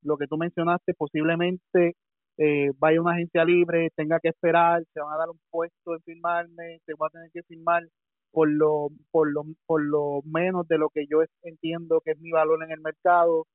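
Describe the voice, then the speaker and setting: A man's voice: on the high side, level tone, moderate pace, no echo. A man calling in as a baseball commentator, explaining by taking different viewpoints and often repeating words. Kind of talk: casual panel discussion. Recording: phone line call-in.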